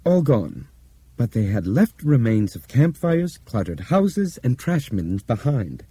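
A man voicing a shrewd explainer in an audiobook, speaking with deliberate, theatrical emphasis and authority. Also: mid-range voice, big pitch swings, mellow, husky, dry recording, pitched above the performer's own voice, heavily acted. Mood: expounding.

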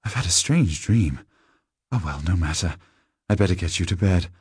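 A man's voice: expressive, normal speed, a little mellow, husky, no echo, studio quality, exaggerated voice. A man voicing a delicate woman under a vampire's spell in a gothic horror story, with a lighter voice. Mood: startled, apologetic. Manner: lighter, raised pitch. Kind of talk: gothic horror audiobook character.

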